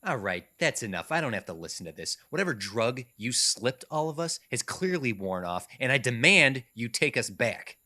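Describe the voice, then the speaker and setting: Man playing a bright, insistent, proud businessman. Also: mid-range voice, sing-song, normal speed, hardly any pauses, heavily acted. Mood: indignant. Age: 40s. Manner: insistent, indignant. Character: driven, demanding businessman of forty, proud and impatient. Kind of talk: audio mystery drama acting.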